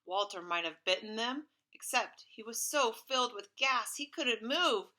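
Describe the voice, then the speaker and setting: A woman reading a storybook aloud as a teacher to pupils, expressive and dramatic in her storytelling. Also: on the high side, measured pace. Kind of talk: animated storybook read-aloud.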